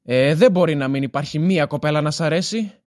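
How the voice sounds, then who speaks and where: A man's voice: on the high side, darkish tone, close to an everyday voice. A man playing an upbeat, prying young friend who encourages his pal in an audio drama, talking casually. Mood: encouraging. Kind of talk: conversational audio drama acting.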